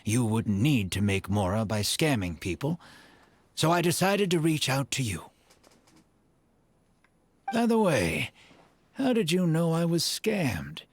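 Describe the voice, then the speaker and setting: An actor in a video game playing an earnest, proud man of the desert, speaking in formal, measured phrases. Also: medium pitch, big pitch swings, no room sound. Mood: guarded, explanatory. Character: earnest, proud desert-dwelling man, elderly or ailing, apologetic.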